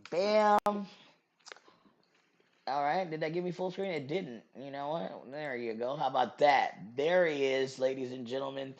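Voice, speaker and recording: A woman hosting a podcast in a casual, chatty way. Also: low-pitched. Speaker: podcast host.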